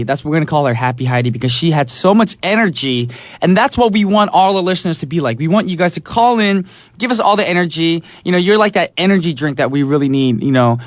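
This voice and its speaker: A man's voice: fairly high pitch, no room sound. A man hosting a call-in radio show, enthusiastic and chatty, saying "awesome" a lot. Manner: enthusiastic, repeats "awesome" and "alrighty". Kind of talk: upbeat radio call-in chat. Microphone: studio microphone.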